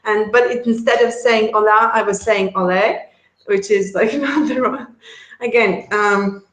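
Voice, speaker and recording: A woman's voice: mid-range voice, measured pace, fairly bright tone. Latvian lady chatting in an interview, laughs a lot, trips over her words, roomy echo. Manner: chatty, laughs often, many restarts. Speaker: guest, relocation service founder. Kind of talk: casual interview chat.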